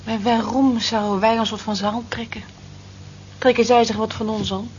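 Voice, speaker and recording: A woman's voice: a little high, fairly even intonation, moderate pace, close to an everyday voice. An actress in a radio drama playing a weary, discontented woman in her forties, reflective and plaintive. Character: weary, wistful working woman of forty-four, discontented. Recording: dull lo-fi old radio recording, hiss.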